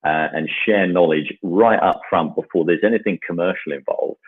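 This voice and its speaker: Man cohost, very low husky voice, dull call sound, earnest. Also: moderate pace. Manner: earnest, many "you know" fillers. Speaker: co-host. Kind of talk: casual chat.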